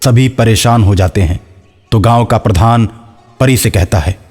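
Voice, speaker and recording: A man's voice: on the low side, moderate pace, a little mellow. A man narrating a scripted horror folk story as a storyteller. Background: faint music.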